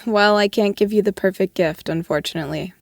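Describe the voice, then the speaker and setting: Reassuring doctor, mid-range voice, matter-of-fact, practical, dry studio audio drama. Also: female voice, medium pitch, fairly quick, gravelly, dry recording.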